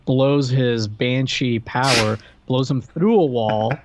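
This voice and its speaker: A man's voice: medium pitch, slow, background noise. A man co-hosting a podcast, casually recapping a movie in a conversational retelling style. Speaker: podcast co-host. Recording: dry, slightly dull audio.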